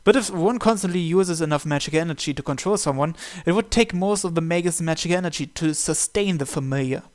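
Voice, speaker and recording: A man's voice: a little high, brisk. A man reading a visual novel script aloud as narration for his gaming series.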